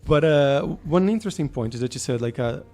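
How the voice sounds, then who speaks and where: Man, interview host, mid-pitched voice, enthusiastic, lots of fillers, faint music behind. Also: animated, fairly quick, darkish tone. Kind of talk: casual interview chat.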